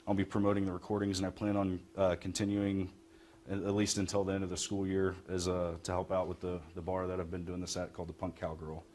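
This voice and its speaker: Young guy doing a student presentation, deep voice, halting, bit of room noise. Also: monotone, darkish tone. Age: young adult. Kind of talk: informal project presentation. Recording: noisy room recording.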